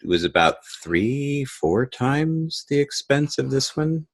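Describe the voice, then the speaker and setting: A middle-aged or older man chatting casually as an interview guest, with a halting, self-correcting delivery. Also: mid-range voice, very animated, slightly rough. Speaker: podcast guest, audio drama creator. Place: home, in front of bookshelves. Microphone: USB desktop microphone.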